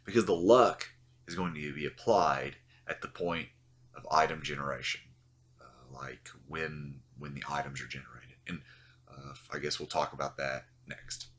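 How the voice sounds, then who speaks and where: A man's voice: a bass voice, normal speed, fairly bright tone. A man with a stuffy voice from getting over the flu, explaining a game mechanic in a loose, rambling voice-over full of fillers. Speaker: game guide host.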